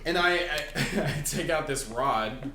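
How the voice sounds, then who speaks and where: A man's voice: on the high side, slow pace, a crowd in the background. A man playing a tabletop role-playing game in casual, joking table talk. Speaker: player in a tabletop RPG. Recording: roomy echo, noticeable background noise.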